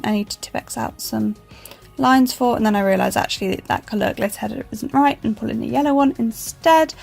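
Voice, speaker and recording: Croaky-voiced woman vlogger. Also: on the high side, a little mellow. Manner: chatty and self-deprecating, many ums. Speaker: planner vlogger. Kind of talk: casual voice-over narration.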